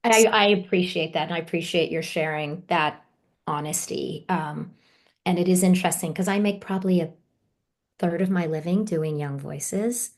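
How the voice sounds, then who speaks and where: A woman voice actor with a gravelly, fry-edged voice speaks earnestly and politely to a coach. Her voice sits fairly low, coming through a video call. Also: normal speed. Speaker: voice actor asking questions. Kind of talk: casual question on a video call.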